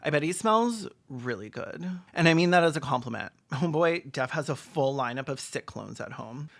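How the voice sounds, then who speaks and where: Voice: medium pitch, expressive, normal speed, gravelly. A video essayist giving sarcastic scripted film commentary, campy and full of joking asides.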